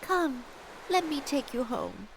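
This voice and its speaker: An actress voicing a gentle, grateful young daughter of a pirate in a video game, tender and wistful. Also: female voice, high-pitched, very animated, slow, creaky, noisy background, full character voice. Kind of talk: video game character acting. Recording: loud music behind.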